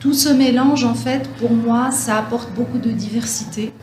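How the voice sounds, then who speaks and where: A woman professor answers interview questions thoughtfully, pausing and restarting often. Her voice sits in an echoey room with a steady hiss of noise behind it. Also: a little high. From France. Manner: thoughtful, many hesitations and restarts. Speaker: French professor, guest.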